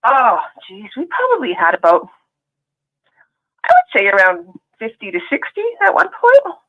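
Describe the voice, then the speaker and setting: A woman's voice: fairly high pitch, huge pitch swings, moderate pace. A woman with a Canadian accent calling in to a talk show, chatting eagerly and laughing often. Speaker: caller. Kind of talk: casual call-in chat. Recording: phone line.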